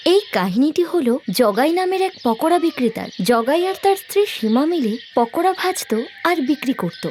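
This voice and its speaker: A woman narrating a scripted story in an expressive storytelling style. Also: high-pitched, moderate pace, slight background noise.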